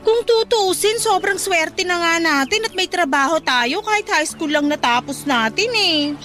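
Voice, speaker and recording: A woman's voice: extremely high pitch, moderate pace, sharp tone, faint music behind. A woman with a Filipino accent playing a contented young resort worker in a radio drama, teasing and scolding her friend in exclamations.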